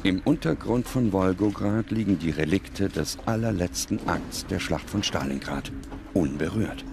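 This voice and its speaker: A man delivering grave, measured scripted narration as a documentary narrator. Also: sits low, lively intonation, gravelly, noisy background.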